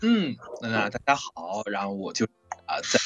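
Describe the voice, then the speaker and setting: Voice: mid-range voice, very animated, moderate pace, fairly bright tone, dull audio. A voice actor hosting a casual live chat, chatty and often repeating phrases. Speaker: host and voice actor.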